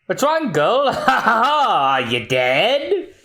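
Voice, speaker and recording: Brief: man putting on smug grabby pirate crooks, high and taunting. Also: wildly swooping pitch, drawn-out words, bright, forceful tone, heavily acted. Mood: gloating. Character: greedy male pirate thugs, mocking and sly.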